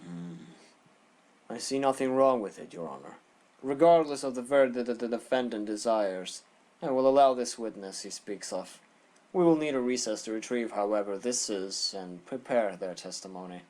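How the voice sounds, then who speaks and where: A man playing a composed prosecutor in an amateur audio drama, speaking in a formal, measured way. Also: mid-range voice, darkish tone, close to an everyday voice. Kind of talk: fan audio drama acting.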